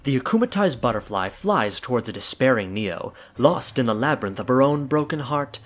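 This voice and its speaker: Animated male audio drama narrator. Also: mid-range voice, brisk. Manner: theatrical, storytelling.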